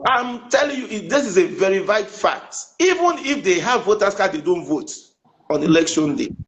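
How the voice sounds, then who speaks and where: Insistent Nigerian man, lively high voice. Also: normal speed, slight background noise. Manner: insistent, talks over the host. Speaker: politician guest. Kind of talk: heated panel debate.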